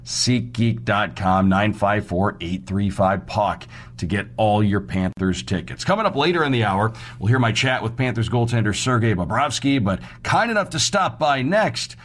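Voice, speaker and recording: Low-voiced male sports radio host. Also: expressive, moderate pace. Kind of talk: upbeat scripted-sounding sports radio preview and promos.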